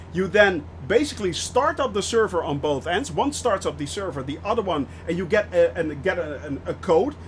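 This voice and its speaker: A man's voice: high voice, a little hiss or hum. A man hosting a flight simulator live stream, talking casually to his chat, thinking aloud with frequent hesitations and greeting viewers by name. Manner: frequent hesitations and restarts while explaining. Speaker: livestream host of a flight simulator channel.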